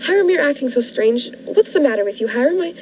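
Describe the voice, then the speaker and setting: A high-voiced, fluttery young bride played by a woman in a vintage radio thriller. She is genteel and naive, with stammering hesitations and sweeping, dramatic swings of pitch. The sound is muffled, with a noisy background. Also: expressive, fast. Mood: nervous, frightened. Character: naive, fluttery newlywed bride turning anxious and pleading. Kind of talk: old-fashioned radio thriller acting.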